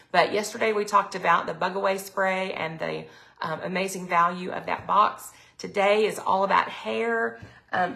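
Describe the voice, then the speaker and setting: A middle-aged woman, chatting casually on a live sales stream, her throat a little raspy from allergies. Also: medium pitch, a bit bright. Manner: chatty, lots of ums, reads viewer comments aloud. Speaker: product consultant hosting a live sales stream.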